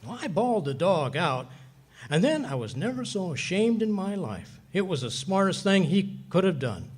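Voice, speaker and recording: A man's voice: sits high, moderate pace, breathy edge, exaggerated voice. A man playing a bumbling, boastful middle-aged husband who spins folksy tall tales in 1940s radio comedy style. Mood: boastful storytelling. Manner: folksy, blustering, excitable tall-tale telling. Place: live staged radio play at a hotel venue.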